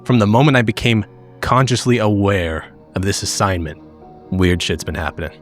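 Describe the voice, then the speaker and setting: A man improvising in character in a tabletop game, with a blunt, sweary and halting casual delivery. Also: fairly low pitch, fairly even intonation. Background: background music.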